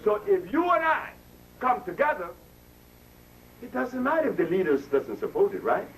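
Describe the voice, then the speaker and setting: A seventy-year-old man lectures an audience in a preacher's cadence, pulling responses from the room. His pitch leaps up and drops down with lively swings. The mic sits well back from him, with faint background noise. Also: moderate pace, far from the mic, poor audio. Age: 70s. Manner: preacher-like, call and response with listeners. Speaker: speaker addressing an audience. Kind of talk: impassioned lecture.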